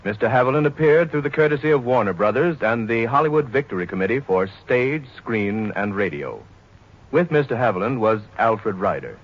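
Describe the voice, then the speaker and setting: A man with an American accent giving a formal, measured announcement as a 1940s radio network announcer. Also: mid-range voice, animated. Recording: dull 1940s radio recording.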